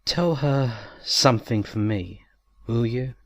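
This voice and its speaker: A man's voice: mid-range voice, takes it slowly, fairly bright tone, no room sound. A man playing a proud, brooding prosecutor who speaks in a clipped, aloof way in a fan audio drama. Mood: curt, reserved. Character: proud, curt, brooding male prosecutor on trial.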